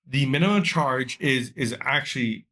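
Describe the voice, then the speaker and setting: An easygoing man co-hosts a casual chat, his voice sitting at a plain middle pitch. He mostly chimes in with short, agreeable replies to the host. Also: moderate pace, pushed and bright.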